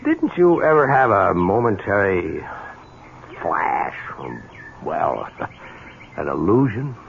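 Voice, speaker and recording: An actor playing a practical young fiancé who has become fearful and defensive, stammering, in a 1970s radio drama. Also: male voice, low voice, sing-song, takes it slowly, creaky, heavily acted. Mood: evasive, casual. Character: Frank, practical young fiancé turned terrified and delirious. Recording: muffled, noisy old radio broadcast.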